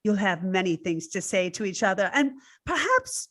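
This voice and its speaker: Lilting, matchmaking servant confidante played by an actress. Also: female voice, mid-range voice, big pitch swings, normal speed, fairly bright tone. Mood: coaxing. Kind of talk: old-time radio drama acting.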